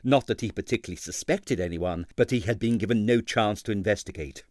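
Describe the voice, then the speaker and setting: A man reading a comic mystery novel as scripted narration, with a dry, measured storytelling manner. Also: fairly low pitch, a little mellow, no echo. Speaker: audiobook reader.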